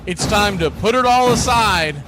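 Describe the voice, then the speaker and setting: A man's voice: high voice, animated, unhurried. A man hosting a baseball radio broadcast, giving a hearty, folksy scripted welcome with showman flair. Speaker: baseball play-by-play radio host. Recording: music under the voice. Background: music.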